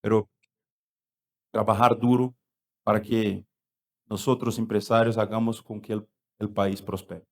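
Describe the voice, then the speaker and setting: A man's voice: lowish voice, level tone, a little slow, pauses often. A middle-aged man with a Brazilian accent, a native Portuguese speaker, giving remarks on a panel as a company executive.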